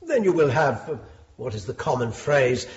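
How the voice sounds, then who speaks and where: A man's voice: mid-range voice, big pitch swings, dull audio, crowd noise, lightly acted. An actor playing a pompous, sardonic male Victorian judge with a condescending manner in a radio drama. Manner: drawn-out sarcasm, condescending.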